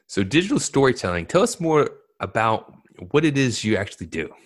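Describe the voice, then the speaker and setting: A man's voice: mid-range voice, sing-song, brisk. A man hosting a podcast, reading a scripted intro, then chatting loosely and joking with his guest. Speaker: podcast host. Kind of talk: podcast interview.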